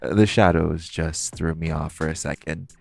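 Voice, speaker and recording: A man's voice: a bass voice, fairly even intonation, moderate pace, vocal fry, darkish tone, no echo, soft background music, exaggerated voice. A man playing a flirty, teasing supervillain thief in an audio roleplay, coy and theatrical, drawing out his phrases as he talks to the listener. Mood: coy, flirtatious.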